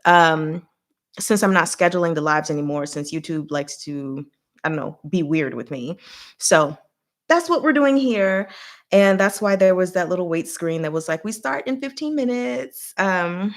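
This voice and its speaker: A woman hosting a livestream, rambling casually in a chatty, giggly way with animated reactions. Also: lowish voice, moderate pace. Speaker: livestream host.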